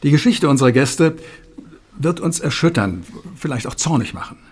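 An actor playing a solemn male television talk show host in a radio drama, speaking formally and gravely with deliberate pauses. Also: on the high side, expressive. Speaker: TV presenter. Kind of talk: radio drama, staged studio presentation.

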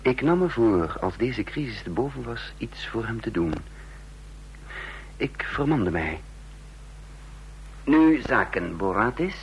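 A stern spy chief in a vintage radio play. His voice is very husky and swings widely in pitch, with clipped authority and a cool, calculating edge. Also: lively intonation, slow pace, pauses often, exaggerated voice, rough recording. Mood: brooding, calculating. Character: stern, shrewd male intelligence chief, cool and commanding. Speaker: narrator and lead actor in a radio drama.

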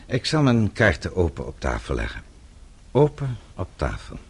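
Deep-voiced, coldly composed avenging father. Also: huge pitch swings, slightly rough, exaggerated voice. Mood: calm triumph. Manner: measured, deliberate, formal. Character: vengeful father, composed, coldly methodical older man. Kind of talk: radio play acting.

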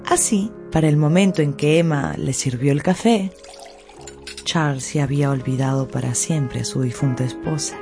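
A woman narrator telling a scripted story for a radio drama in an expressive, theatrical way. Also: deep voice, moderate pace. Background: faint music.

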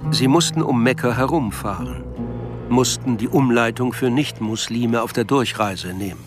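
A man's voice: medium pitch, moderate pace, over music, natural voice. A man reading scripted literary narration as the sober storyteller of a radio play. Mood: sober, measured.

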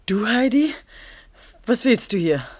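A woman's voice: on the high side, big pitch swings, slow, a little mellow, breathy edge, dry recording, studio quality, full character voice. An actress playing a bitter, distraught young woman at a village petrol station in a 1950s German radio play, agitated and accusing. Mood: cold, accusing.

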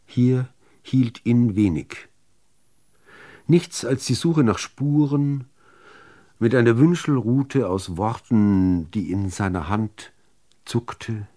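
A man reading literary prose as a radio narrator, in a measured, deliberate delivery with dramatic pauses. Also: mid-range voice, warm tone.

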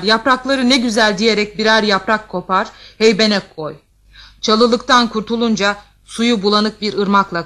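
A woman's voice: mid-range voice, fairly bright tone. A woman playing a motherly, protective giant ogress who speaks wisely and with authority in an audio fairy tale.

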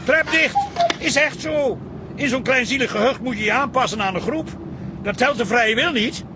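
High-voiced grumbling man, ranting. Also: moderate pace, noisy background. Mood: stubborn, scornful. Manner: ranting, sarcastic. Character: resentful grumbling man who scorns country life and loves the city. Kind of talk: radio drama acting.

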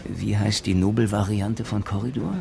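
A man's voice: fairly low pitch, fairly even intonation, normal speed, vocal fry, noisy background. An actor in a radio crime drama playing a reserved, polite and somewhat hesitant male police inspector who recounts his case. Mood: attentive, measured. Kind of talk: radio crime drama, dialogue and first-person narration.

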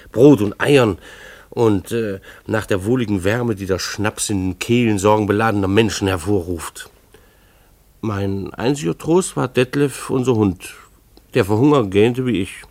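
An actor playing a harried, middle-aged schoolteacher husband in a German radio play, with dry, formal, hesitant phrasing. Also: male voice, lowish voice, creaky, no room sound. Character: harried, wry husband, a schoolteacher and family father.

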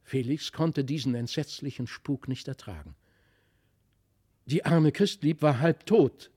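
A man narrating a literary fairy tale in a dramatic, expressive manner. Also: mid-range voice, a little slow, breathy edge. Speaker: storyteller.

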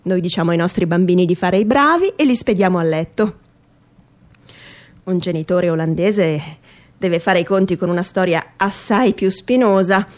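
A woman performing an expressive, ironic reading of a humorous story in a theatrical radio style. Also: on the low side, normal speed. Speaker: performer reading a literary text.

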